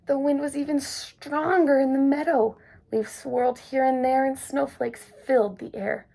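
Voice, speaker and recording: A woman reading a children's picture book aloud, gentle and expressive. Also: sits high, normal speed, gravelly, poor audio. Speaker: storyteller. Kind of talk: children's story read-aloud.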